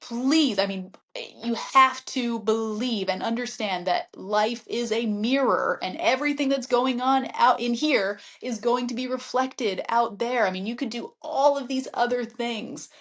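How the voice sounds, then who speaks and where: A woman's voice: a little high, a little slow, few pauses, a bit bright. Woman, interview guest, mindset coach, reflective, earnest, casual chat. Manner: reflective, earnest, frequent "I mean" and "yep". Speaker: guest, real estate agent and mindset coach.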